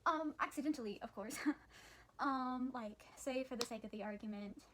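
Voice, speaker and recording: A woman's voice: a little high, normal speed, background noise, lightly acted. A teenage woman acting a guilty, panicky caller in a comic monologue, hesitant and full of nervous hedging. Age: teens, high school senior. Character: panicky, guilty young caller making awkward excuses.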